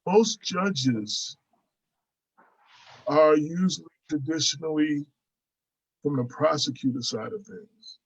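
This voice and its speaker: Halting male radio host, dry dull sound. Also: fairly high pitch, slightly rough, no room sound. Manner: halting, reads listener comments aloud. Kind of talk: interview questions.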